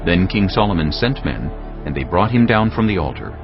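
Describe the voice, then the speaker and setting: A male narrator with a low, deep voice reads scripture as drama, his intonation moving widely. Music plays clearly behind him and the recording is somewhat dull. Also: big pitch swings, a little fast. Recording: dull lo-fi audio, music bed.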